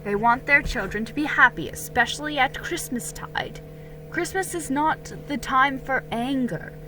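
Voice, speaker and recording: A woman voicing a kindly, measured colonial father in a dramatic storybook reading, gentle and reassuring. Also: sits high, a little hiss or hum, pitched above the performer's own voice, rough recording.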